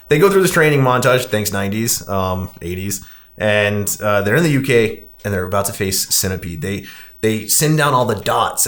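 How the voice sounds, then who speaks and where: A man's voice: on the low side, fairly bright tone. Man casually chatting as podcast host. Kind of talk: casual movie review chat.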